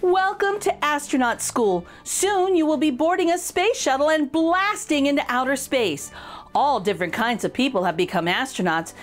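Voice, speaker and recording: A woman reading a picture book aloud for children in an expressive, encouraging way, addressing young listeners directly with clear emphasis. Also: sits high, moderate pace, faint music behind. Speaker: children's storytime reader.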